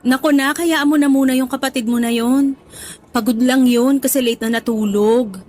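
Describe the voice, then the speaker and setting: A woman playing a doting, gently scolding middle-aged mother in a radio drama, emphatic and motherly. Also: high voice, fairly even intonation, moderate pace, lightly acted. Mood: reassuring. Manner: motherly, emphatic, fond exasperation. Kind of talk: Tagalog radio drama acting.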